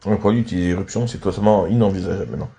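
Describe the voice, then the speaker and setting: A man's voice: low-pitched, very fast, mellow. A man hosting and casually commentating on video game matches, slightly stuffed up with a cold. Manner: frequent throat clearing and coughing.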